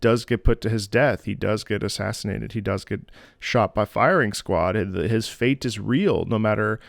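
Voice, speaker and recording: A man's voice: fairly low pitch, normal speed, vocal fry, dry recording, studio quality. A man hosting a music reaction video, talking in a chatty, enthusiastic, casual way. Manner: chatty, enthusiastic, with many fillers and restarts.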